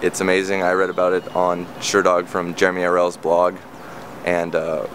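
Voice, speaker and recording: A man in his twenties from California, answering interview questions with focused, plain speech and filler pauses. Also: low voice, monotone, background noise. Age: 20s.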